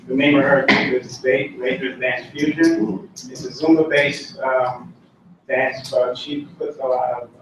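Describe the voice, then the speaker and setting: A father introducing himself to a group, halting with frequent ums. He sounds far from the mic in a very echoey room with background noise. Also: mid-range voice. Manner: hesitant, many ums. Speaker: parent.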